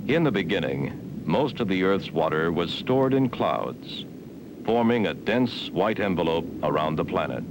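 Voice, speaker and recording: Low-voiced man narrating an educational film. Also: sing-song. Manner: measured, formal, instructional. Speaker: documentary narrator. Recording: 1970s film soundtrack with faint hiss.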